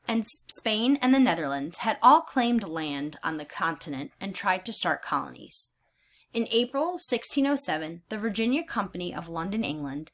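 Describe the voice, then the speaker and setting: A woman reading aloud as a teacher, in a deliberate, explanatory manner with emphatic phrasing. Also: medium pitch, fairly bright tone. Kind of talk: instructional read-aloud of a children's history book.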